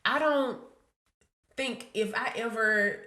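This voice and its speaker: Woman in her 30s, podcast co-host, bright and forceful tone, blunt and profane, casual. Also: on the high side, slow. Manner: blunt, profane and laughing, drops her voice to an aside. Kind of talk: casual chat.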